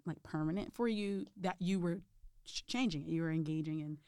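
A woman's voice: low voice, brisk, mellow, smooth, no room sound, clean studio sound. A woman interviewing in a casual conversation, thinking aloud and searching for words.

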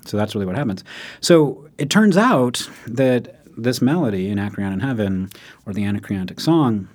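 A man explaining music history in relaxed conversation, often checking in with "right?" and acting out quoted speech. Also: lowish voice, normal speed. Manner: animated, acts out quotes in raised voice, frequent "right?".

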